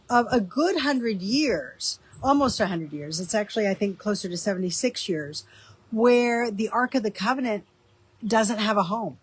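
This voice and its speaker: A woman's voice: a little high, slightly rough, poor audio. A woman with a stuffy, slightly nasal voice from a cold, teaching Bible history in a thoughtful solo talk full of ums and restarts.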